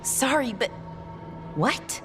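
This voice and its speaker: An actress playing an anxious, earnest young elf in a fantasy video game, with a questioning, unguarded manner. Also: female voice, on the high side, very animated, slow pace, over music. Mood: bewildered.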